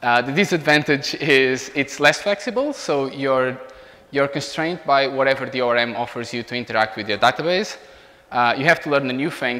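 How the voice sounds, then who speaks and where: A man in his thirties with a Portuguese accent, giving an informal technical presentation as a software engineer. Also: mid-range voice. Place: indoor meetup room beside a large screen. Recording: reverberant hall sound.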